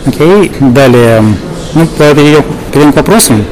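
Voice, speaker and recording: A man's voice: a little high, animated, moderate pace, darkish tone, low-quality recording. A Russian man interviewing informally, hesitant and often repeating his words. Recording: clear vehicle-like ambient noise.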